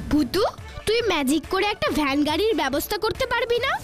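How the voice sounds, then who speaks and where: Young village lad: very high, animated, questioning, cartoon style with music behind. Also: female voice, fairly quick. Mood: curious, questioning. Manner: questioning, earnest. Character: curious young village boy, elder-brother friend of a ghost. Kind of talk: children's cartoon dubbing.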